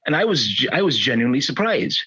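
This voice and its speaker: A man in his fifties chats as a podcast co-host, his bright, slightly husky voice leaping up and down in pitch. He slips into playful mock outrage easily. The sound is muffled and lo-fi, like a remote call. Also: fast. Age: 50s. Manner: animated, mock-indignant, playful. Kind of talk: casual chat.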